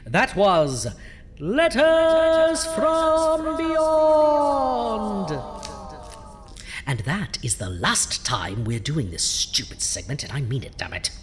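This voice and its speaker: A sardonic undead male crypt-keeper as host of a horror audio drama. He draws his words out theatrically and swings widely in pitch. There is slight noise behind him. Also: big pitch swings, heavily acted. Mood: grudging, sulky. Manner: theatrical, indignant, drawn-out delivery.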